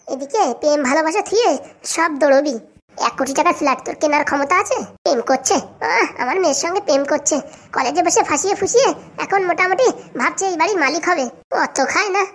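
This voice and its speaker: Someone putting on a sharp-tongued cartoon mom, super high voice, talks crazy fast, pushy n scornful. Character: scheming, sharp-tongued mother of a marriageable daughter. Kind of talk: comedy cartoon voice acting.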